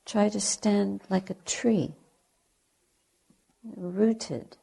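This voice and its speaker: A woman teaching guided meditation in a soft, breathy, gentle way, speaking in short deliberate phrases with long pauses. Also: mid-range voice, steady tone. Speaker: Buddhist nun, meditation teacher.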